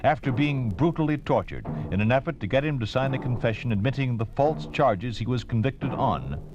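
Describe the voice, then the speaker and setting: Solemn, animated American radio announcer. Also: male voice, mid-range voice, brisk. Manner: solemn, formal. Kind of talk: 1950s radio announcing, scripted narration. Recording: dull, band-limited old radio recording. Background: dramatic music.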